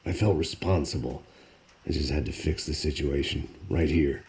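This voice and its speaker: An actor playing a weary, bitter, hard-drinking American in a hardboiled noir game voice-over, terse and sardonic. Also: male voice, very deep voice, moderate pace, creaky, a little mellow. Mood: brooding. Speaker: narrating protagonist.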